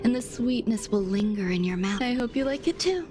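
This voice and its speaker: A woman playing a serene, aloof genius scientist in a video game, speaking in a measured, formal, gently detached way. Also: a little high, animated, lightly acted. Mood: soft, dreamy. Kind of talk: video game character acting. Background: music.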